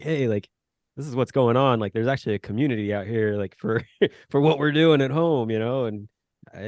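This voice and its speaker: Man, podcast host, friendly casual interviewer, lowish voice, dry close sound. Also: darkish tone, dry recording. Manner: friendly, laughs often, loose filler-heavy phrasing.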